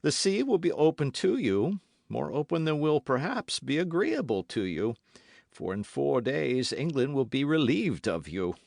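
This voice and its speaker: A man's voice: medium pitch, big pitch swings, measured pace, creaky, dry recording, studio quality, pitched above the performer's own voice, heavily acted. A man doing a vengeful, scornful nobleman. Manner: scornful and loud.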